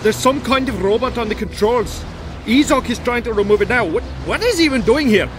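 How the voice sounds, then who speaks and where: A man's voice: very high-pitched, expressive, brisk, noisy background. A man playing an anxious shuttle crewmate in an audio drama, urgently reporting trouble over the intercom. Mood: alarmed. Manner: alarmed, urgent.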